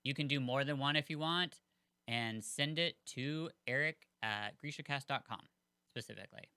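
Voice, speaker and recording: A man's voice: medium pitch, normal speed, pushed and bright, clear, resonant tone, no room sound, studio quality. A man co-hosting a podcast, chatting casually and rambling with lots of fillers. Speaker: podcast co-host.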